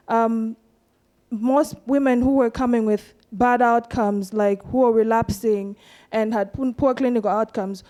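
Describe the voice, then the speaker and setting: Malawian woman, mellow voice, earnest presenter. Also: a little high. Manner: earnest and explanatory, pauses often with "um". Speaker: medical researcher presenting a study. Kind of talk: conference presentation.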